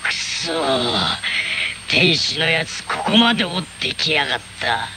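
An actor playing a sly, rough-talking male devil in a radio drama. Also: fairly high pitch, sing-song, a little slow, heavy background noise. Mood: scornful. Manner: swaggering, coarse street talk.

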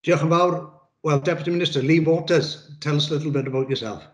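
A man moderating a panel, reading clear introductions and handing over to guests. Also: on the high side, steady tone, fast, reverberant. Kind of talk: hosting a panel.

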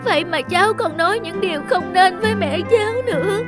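A woman's voice: extremely high pitch, fairly quick, music in the background. A woman voicing an emotional, pleading young girl in a cartoon drama. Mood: worried, remorseful. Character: distressed, bitter young girl who lost her leg.

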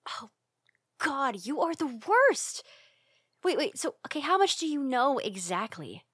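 A woman playing a bashful, secretly affectionate young tsundere roommate in an ASMR roleplay, speaking in a halting, flustered way with sighs. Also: sits high, big pitch swings, fairly bright tone, no room sound, clean studio sound, full character voice. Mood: embarrassed, indignant.

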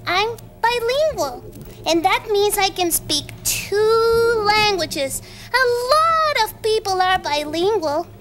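A woman's voice: extremely high pitch, very animated, takes it slowly, noisy background, heavily acted. A woman voicing a cheerful young girl puppet with a Spanish accent in a children's TV show. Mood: proud.

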